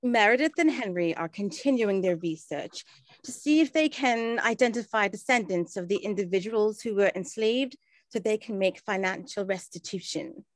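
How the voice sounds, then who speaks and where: A woman playing an earnest 1920s woman in an amateur scripted play, speaking in a measured way. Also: medium pitch, dry recording, natural voice. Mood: solemn. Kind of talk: amateur scripted stage acting.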